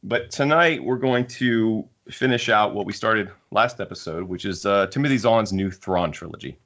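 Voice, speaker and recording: Male podcast host, deep voice, casual and halting delivery, slightly muffled lo-fi sound. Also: a bit bright. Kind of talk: casual discussion of sci-fi books.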